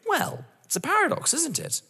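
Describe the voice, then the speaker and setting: A man's voice: extremely high pitch, very animated, moderate pace, pushed and bright, a little hiss or hum, exaggerated voice. An actor playing a mocking, vengeful young man in an audio drama, theatrical and savouring each word. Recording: reverberant hall-like room.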